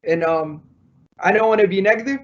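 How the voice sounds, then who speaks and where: A man's voice: high-pitched, brisk, dull audio. A man from Iraq with an Iraqi accent and Arabic as his first language, giving an informal, hesitant talk as a guest speaker. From Baghdad, Iraq. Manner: hesitant, repeats words while searching for phrasing. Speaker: guest speaker, doctoral student. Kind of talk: informal unscripted talk to an audience.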